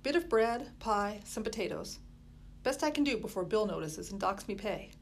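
A woman voicing a brisk, motherly woman with a loud, shrill voice. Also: lively intonation, gravelly, a little hiss or hum. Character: cheery working-class London woman, brisk and motherly.

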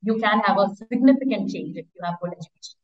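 A woman's voice: medium pitch, fast. Woman, Indian accent, interview guest, conversational, rambling, roomy echo, off-mic and distant. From eastern India. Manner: rambling, peppered with "like" and "you know". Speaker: interview guest, education startup founder. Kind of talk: conversational personal storytelling. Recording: echoey, distant, muffled call-like audio.

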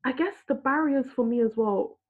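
A woman's voice: high-pitched, moderate pace, a little hiss or hum. A woman who works in healthcare, earnestly telling a frustrated personal story in a discussion. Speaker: healthcare worker. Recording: husky voice over a tinny phone-like line.

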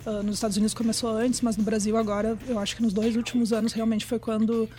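A woman's voice: a little high, level tone, fast talker, warm tone, soft background music. A middle-aged woman with a Brazilian accent, explaining things in a chatty, conversational way and often checking for agreement.

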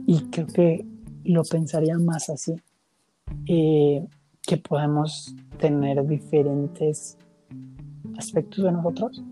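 A woman's voice: low voice, dark tone, low-quality recording. A woman in a casual, reflective conversation, thinking aloud and searching for her words. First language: Spanish. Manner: musing, hesitant, searching for words.

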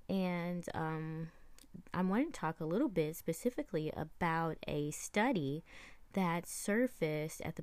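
A woman podcast host speaking in a deliberate, reassuring monologue of faith-based encouragement, with emphatic delivery. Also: lowish voice, a little mellow, no echo.

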